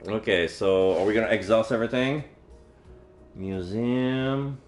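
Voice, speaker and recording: A man's voice: fairly low pitch, measured pace. A man livestreaming a visual novel, reading the text aloud casually with jokey, muttered asides. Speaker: streamer playing a visual novel. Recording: roomy sound, faint game music. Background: faint game music.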